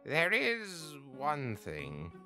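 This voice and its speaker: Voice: mid-range voice, huge pitch swings, extremely slow, pushed and bright, soft background music, heavily acted. A performer voicing a cheerful, theatrical skeleton monster in a comic dub, earnest and breezily nonchalant. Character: cheerful, self-centered, theatrical simple-hearted skeleton monster. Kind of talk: comic dub character acting.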